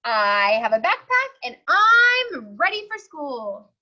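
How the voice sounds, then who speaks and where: Storybook young pig, played by a woman: high, swooping, earnest and pleading, slightly dull recording. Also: very animated, takes it slowly, pushed and bright, full character voice. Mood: proud, eager. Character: eager, earnest young pig wanting school.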